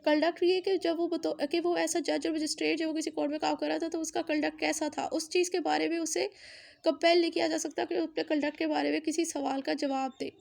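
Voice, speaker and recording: A woman's voice: high-pitched, flat delivery, a little fast, vocal fry, darkish tone. A woman with a Pakistani accent giving an explanatory law lecture, mixing in English legal terms and restating each point in a didactic way. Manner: didactic, repeats and rephrases points.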